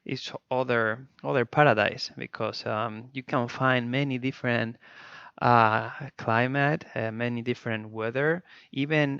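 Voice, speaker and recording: Spanish man with gravelly bright voice. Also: mid-range voice, dry recording, lo-fi sound. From Spain. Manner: hesitant, many fillers and restarts in a second language. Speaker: guest. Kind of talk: casual interview chat.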